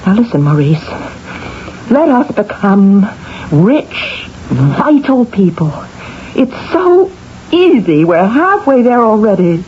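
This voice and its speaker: An actress playing a discontented, ambitious, self-centred wife in an old-time radio mystery, coaxing and insistent in a theatrical way. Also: female voice, medium pitch, big pitch swings, slow pace, darkish tone, slight background noise. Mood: insistent, selfish. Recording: muffled vintage radio recording with music.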